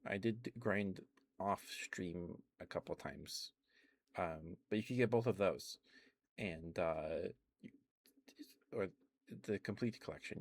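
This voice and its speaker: A man streaming ASMR, chatting softly and rambling with frequent fillers and little laughs. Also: fairly low pitch, no room sound. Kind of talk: casual rambling chat.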